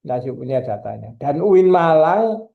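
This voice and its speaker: A man's voice: a little high, sing-song, measured pace, creaky, phone-quality sound. A middle-aged male university lecturer, giving a chatty, digressive classroom lecture and teasing his students.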